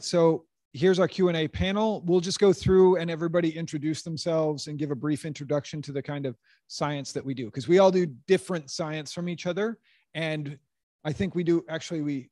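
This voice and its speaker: A man in his thirties, slightly high-voiced, hosts a science panel on a handheld mic in a lecture room. He thinks aloud and often restarts sentences. The sound is dry and close. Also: darkish tone, dry recording. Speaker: panel moderator and astronomer. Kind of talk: casual panel Q&A moderation.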